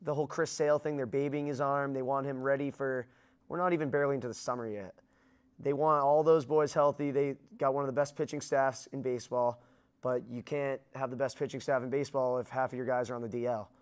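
A man's voice: mid-range voice, fairly even intonation, quick pace, clean tone. A man in his thirties giving blunt, emphatic opinions as a guest on a studio sports show. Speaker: guest commentator. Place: small studio with dark backdrop.

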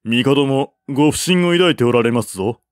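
A man's voice: medium pitch, normal speed, no echo, clean studio sound, lightly acted. A man voicing a forthright imperial envoy in an audiobook, questioning formally with pressing emphasis.

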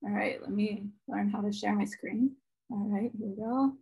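A woman ethnographer with a quiet voice presenting research on a video call, casual with many ums and uhs. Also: medium pitch, fairly even intonation, moderate pace, creaky, husky, rough recording. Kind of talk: academic conference talk. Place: home room. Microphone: laptop webcam on video call.

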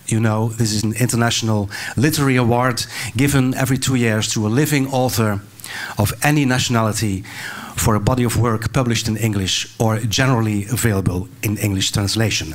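Older guy hosting a book talk, lots of uh and restarts. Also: lowish voice. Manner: earnest, restarts and fillers. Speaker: moderator. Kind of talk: onstage introduction and interview. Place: stage of a large hall. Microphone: handheld mic.

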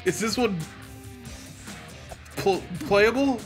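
High-voiced, giggly man doing game commentary. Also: slow pace, soft background music. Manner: giggly, joking. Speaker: let's play commentator. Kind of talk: casual joking game commentary.

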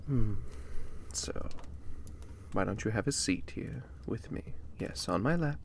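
A man voicing a suave, gently possessive vampire catboy in a soothing ASMR roleplay, breathy and often whispering, with formal, deliberate phrasing. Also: on the low side, animated, a little hiss or hum, full character voice. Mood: smooth, commanding. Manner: slow, deliberate, formal, reassuring.

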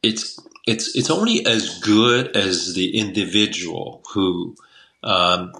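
Bright-voiced older man, rambling. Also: low-pitched, expressive, slow, gravelly, rough recording. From Taiwan. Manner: rambling, with many restarts and fillers. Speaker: guest, Chinese medicine doctor. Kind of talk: casual interview talk. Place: home room by a window. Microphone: video call webcam.

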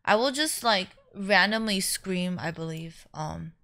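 A young woman streamer telling stories casually, chatty and a little crude, jumping between excited squeals and flat deadpan jokes. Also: mid-range voice, a little slow, very noisy. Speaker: VTuber streamer. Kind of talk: casual storytelling vlog.

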